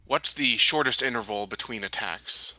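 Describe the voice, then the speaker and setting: A man playing a tabletop game, talking conversationally and somewhat haltingly as he plays. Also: mid-range voice, sharp tone, dry recording, rough recording.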